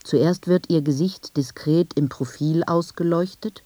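Mellow woman narrating a literary radio piece. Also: low voice, a little slow, no echo. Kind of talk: scripted literary first-person narration.

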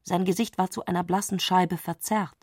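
A woman reading literary narration for a radio play in a scripted, measured storytelling style. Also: lowish voice, fairly even intonation, a little mellow, dry recording, clean studio sound.

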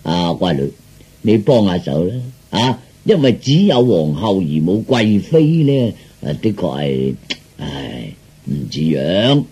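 Low, husky, pompous king. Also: big pitch swings, a little slow, warm tone, exaggerated voice. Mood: coaxing. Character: pompous self-indulgent male king, a doting father. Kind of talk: Cantonese comic radio drama acting.

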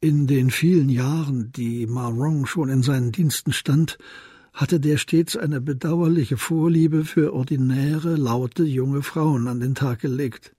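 A man narrating a scripted crime radio drama in a measured storytelling manner. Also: mid-range voice, fairly even intonation, mellow, breathy edge, no room sound.

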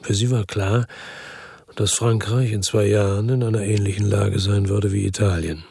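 An actor playing a world-weary, bitter crime investigator who narrates and talks tersely in a radio drama, with a gravelly, husky, deadpan voice. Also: male voice, lowish voice, moderate pace, no echo, studio quality, full character voice. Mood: grim, weary. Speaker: first-person narrator and lead character in a radio drama.

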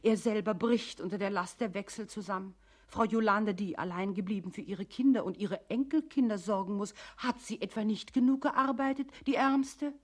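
An actress plays a female instructor in a scripted radio drama. She hands out rules to a timid employee in measured, procedural phrases, with a medium-pitched voice that is slightly husky. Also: a little mellow, close to an everyday voice. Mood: mock sympathy. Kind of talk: scripted radio play narration.

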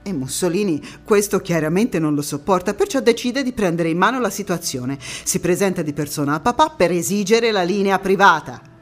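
A woman playing a proud, defiant anti-fascist partisan who recalls her youth in a theatrical monologue, with measured phrasing and deliberate pauses. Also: fairly low pitch, lively intonation. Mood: indignant, suspenseful. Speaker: stage actress in a one-woman monologue. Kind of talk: theatrical first-person storytelling monologue.

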